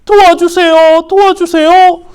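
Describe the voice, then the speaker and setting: Storybook role: woman playing a high, drawn-out pleading blind beggar man. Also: little pitch movement. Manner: loud, drawn-out pleading cries.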